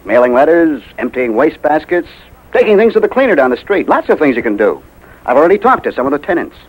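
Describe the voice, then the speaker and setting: An American actor playing a kindly, reassuring building superintendent in a 1950s radio drama. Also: male voice, on the high side, very animated, fast talker, husky. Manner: gentle, reassuring. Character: kindly building superintendent, encouraging a little girl. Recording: noisy 1950s radio broadcast.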